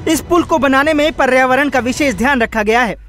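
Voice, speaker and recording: A woman's voice: sits high, fairly quick, a bit bright, faint music behind. A woman reading a scripted news voice-over with punchy, emphatic headline delivery. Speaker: news narrator.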